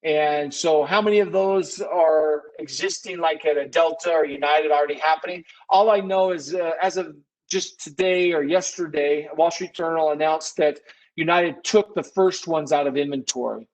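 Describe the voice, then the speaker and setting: A man's voice: a little high, normal speed, low-quality recording. A man presenting an informal live webinar Q&A, conversational and thinking aloud, often correcting himself as he goes. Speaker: webinar presenter, business consultant.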